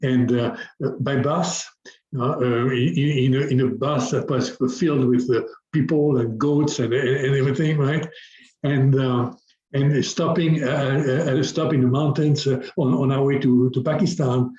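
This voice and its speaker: A man's voice: medium pitch, fairly even intonation, a little slow, a little mellow, reverberant, lo-fi sound. A middle-aged man from France, a business coach speaking as a guest in an interview, talking hesitantly with many restarts and fillers. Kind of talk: conversational interview.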